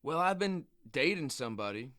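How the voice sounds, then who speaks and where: A man's voice: on the high side, sing-song, brisk, pushed and bright. A man playing a proud, stubborn injured bull rider with an American accent, guarded and hesitant, in a naturalistic radio drama. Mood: reluctant. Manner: guarded, hesitant, plain-spoken.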